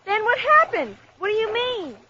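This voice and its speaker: A woman's voice: very high voice, sing-song, moderate pace. A woman playing an anxious, plucky young girl in an audio drama. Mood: puzzled. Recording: dull lo-fi recording, noisy ambience.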